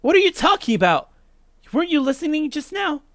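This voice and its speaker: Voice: high voice, very animated, brisk, fairly bright tone, no room sound, pitched above the performer's own voice, heavily acted. A let's player voicing a desperate, accusing visual novel student, urgent and pleading. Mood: angry, demanding. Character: desperate, accusing schoolkid Megatron, indignant and confused.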